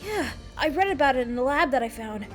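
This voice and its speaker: A woman plays a young cartoon fox inventor with a very high voice. The character is earnest and eager, laying out explanations like an excited little expert. Faint music sits behind the dialogue. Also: brisk. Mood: amazed, explaining. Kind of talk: animated fan-dub dialogue.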